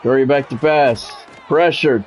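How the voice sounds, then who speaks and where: A man's voice: mid-range voice, measured pace, a little mellow, a faint crowd, slight background noise. A man doing sports play-by-play commentary in short announcer-style phrases, mixed with casual chat to his viewers. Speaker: live streamer and play-by-play commentator.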